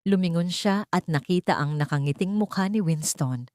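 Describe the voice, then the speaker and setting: A woman's voice: lowish voice, lively intonation, normal speed, a little mellow, no room sound, clean studio sound. A woman narrating a scripted dramatic story in a formal, sentimental storytelling manner. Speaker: audiobook narrator.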